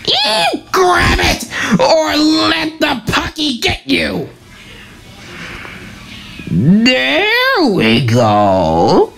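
A woman voicing a pompous, gloating male mad scientist with a creaky voice in an amateur comic dub. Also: high voice, huge pitch swings, drawn-out words, pauses often, a bit bright, heavily acted. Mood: taunting. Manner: pompous, commanding, taunting.

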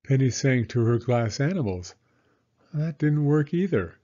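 A man narrating a children's story as a storyteller, in a measured, expressive manner. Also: medium pitch, highs cut off.

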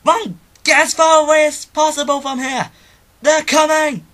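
A man voicing a protective creature parent in an animated film, solemn and earnest. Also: very high voice, unhurried, pitched above the performer's own voice. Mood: alarmed, shouting. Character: protective creature parent, gentle mentor, fierce when threatened.

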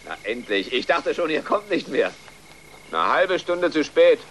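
A man's voice: fairly high pitch, very animated, unhurried, slight background noise. An actor playing an irascible farmer father in a family film dub, blustering as he scolds. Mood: impatient. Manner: blustering, stammering when flustered. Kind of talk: dubbed family film acting.

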